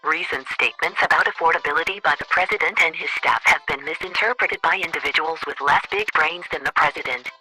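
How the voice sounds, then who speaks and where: A man's voice: a little high, quick pace, few pauses, bright, forceful tone, poor audio. A man voicing an officious government chief of staff in a formal, scripted comic voicemail.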